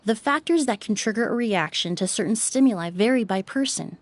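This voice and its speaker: A woman voicing a polite, composed young perfumer in a video game, explaining things in a measured, courteous way. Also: fairly high pitch, animated, no room sound. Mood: calm explaining.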